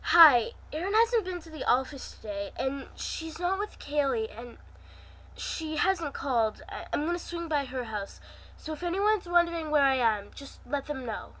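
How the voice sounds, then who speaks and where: Girl reading as worried nice office guy, earnest, bit hesitant, highish voice. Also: lively intonation, moderate pace, sharp tone, rough recording. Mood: nervous. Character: anxious, caring young male office colleague in a story.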